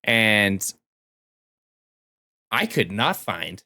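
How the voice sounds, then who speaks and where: A man's voice: fairly low pitch, big pitch swings, drawn-out words, creaky, sharp tone, dry recording, studio quality. A man chatting casually about games as a podcast co-host. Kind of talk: casual video game podcast chat.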